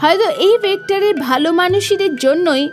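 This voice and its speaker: A woman's voice: extremely high pitch, brisk, music in the background. A woman narrating a scripted children's story as the storyteller.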